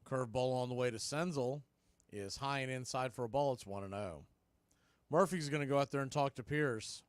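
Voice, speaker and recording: A man calling live baseball on the radio, mixing clipped pitch calls with conversational, partisan asides. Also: normal speed, clear, resonant tone, dry recording. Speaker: baseball radio play-by-play announcer. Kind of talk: live sports commentary.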